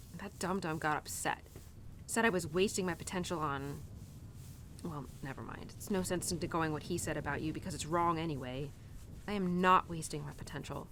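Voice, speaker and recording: A woman as a rambling, lowish-voiced young cowgirl. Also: slight background noise, lightly acted. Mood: defensive, earnest. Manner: rambling, hesitant, giggly, with stammers and restarts. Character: earnest, rambling young cowgirl confiding in her horse. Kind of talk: intimate roleplay audio, spoken to the listener.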